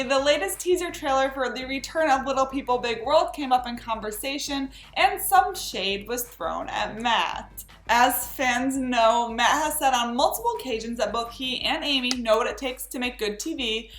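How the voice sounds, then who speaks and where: High, animated woman hosting gossip news. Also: roomy sound. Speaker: entertainment news host. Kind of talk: scripted gossip recap narration.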